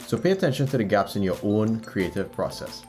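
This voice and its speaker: An upbeat man hosting a podcast, his voice sitting a little low. He moves from smoothly reading a guest's biography to friendly chat with a few fillers. Faint music plays under him. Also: big pitch swings, fairly quick, darkish tone. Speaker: podcast host. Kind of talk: scripted intro then casual interview.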